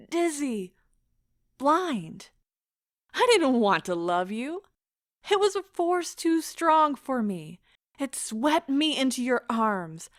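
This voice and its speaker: A woman playing an anguished, pleading lover in a stage-style audio drama, with a theatrical, impassioned and deliberate delivery. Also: high-pitched, no echo, studio quality, full character voice. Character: anguished, pleading woman leaving a broken love affair.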